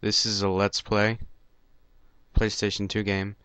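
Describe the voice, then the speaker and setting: A man's voice: lowish voice, monotone, unhurried, clear, resonant tone, no room sound. A man hosting a let's play with casual, rambling, hesitant game commentary. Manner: hesitant, offhand. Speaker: gamer, let's play host. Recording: loud game music behind.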